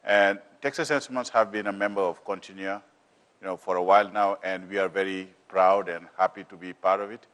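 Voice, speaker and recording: A man with a deep voice presenting on a panel as a business manager, in a halting, repetitive way full of filler phrases. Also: steady tone, fairly bright tone. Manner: halting, repetitive, peppered with "you know".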